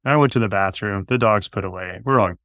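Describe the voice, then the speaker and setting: A man with a lowish voice chats easily as a podcast guest, thinking his way through each point as he talks. He comes through a video call with a dry, close sound. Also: brisk, a bit bright, no echo. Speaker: guest, wellness coach. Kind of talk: casual chat.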